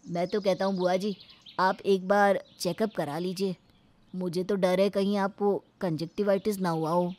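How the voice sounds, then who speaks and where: A woman's voice: lowish voice, little pitch movement, normal speed, darkish tone, slight background noise, natural voice. A woman voicing a sensible young husband in a cartoon story, earnest and protesting. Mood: concerned.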